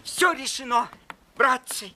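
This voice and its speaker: An actor playing an eager, earnest little boy scientist in a cartoon, lecturing with excitement. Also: high-pitched, slow pace, very noisy. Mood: eager, explaining. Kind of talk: animated cartoon acting.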